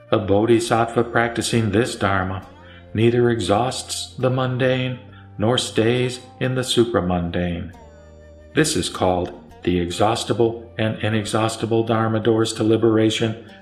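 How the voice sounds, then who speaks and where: A man reciting Buddhist scripture as scripted narration, in a solemn, measured, deliberate manner. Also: lowish voice, fairly even intonation. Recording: roomy echo with music underneath. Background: background music.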